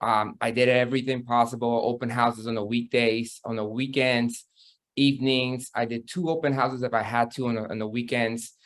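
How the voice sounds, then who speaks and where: A man's voice: medium pitch, steady tone, a bit bright. Upbeat man on a video call. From Peru. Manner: upbeat, quick bursts, laughing easily. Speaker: real estate agent panelist. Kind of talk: webinar panel talk.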